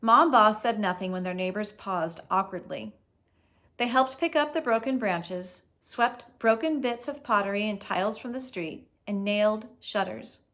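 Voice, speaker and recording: Woman doing a read aloud, expressive storytelling, medium pitch, casual explainy way for kids. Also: moderate pace.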